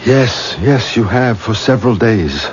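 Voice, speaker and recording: A man's voice: medium pitch, lively intonation, a little slow, a little hiss or hum, natural voice. An actor in a 1970s radio drama playing a doting, anxious father with an emotive, coaxing way of talking. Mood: anxious, relieved. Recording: dull old radio recording, crowd behind.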